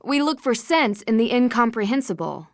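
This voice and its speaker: A woman's voice: a little high, lively intonation, moderate pace, no echo, studio quality. A woman voicing a young American private investigator in a video game, friendly, earnest and inquisitive. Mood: polite, inquisitive. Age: young adult. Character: polite, inquisitive young woman detective, eager and considerate. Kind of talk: scripted video game dialogue.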